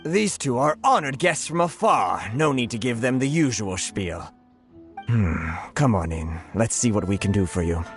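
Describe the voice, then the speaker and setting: A man playing a genial middle-aged government commissioner in a video game, speaking with courteous, grandiose flattery. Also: medium pitch, normal speed, close to an everyday voice. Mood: hurried, welcoming. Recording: faint game music behind the voice.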